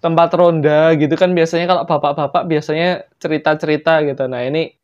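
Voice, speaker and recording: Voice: mid-range voice, steady tone, a little fast, lo-fi sound. A young adult with a Javanese-influenced Indonesian accent improvises a story casually, with playful, joking asides. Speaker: storyteller, channel host.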